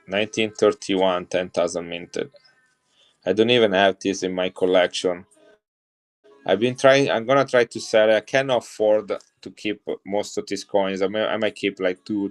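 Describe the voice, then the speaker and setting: A middle-aged man from Italy with an Italian accent, chatting enthusiastically to viewers as he shows and sells coins on a live stream. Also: low voice. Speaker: coin seller on a live stream. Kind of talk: casual live-selling chat.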